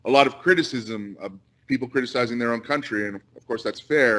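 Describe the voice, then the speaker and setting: An American man speaks as an invited guest on a panel. His voice sits at an ordinary middle pitch, and he talks earnestly and without a script, often halting between phrases. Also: pushed and bright. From United States. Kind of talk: earnest, unscripted panel talk.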